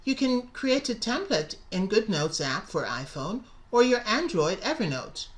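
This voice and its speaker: A woman's voice: mid-range voice, big pitch swings, unhurried. A middle-aged woman teacher narrating a scripted lesson, enunciating carefully and stressing key words. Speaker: English teacher and presenter.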